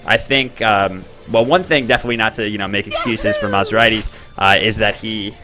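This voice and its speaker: A man hosting and commenting on a game tournament in a chatty, excitable way. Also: fairly quick, over music. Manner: chatty, excitable, bursts up in pitch at plays. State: expects to lose his voice.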